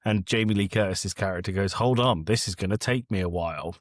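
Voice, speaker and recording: Man, podcast co-host, deeper voice, casual film chat, blunt, exasperated, sweary, close dry sound. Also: a little fast, no room sound.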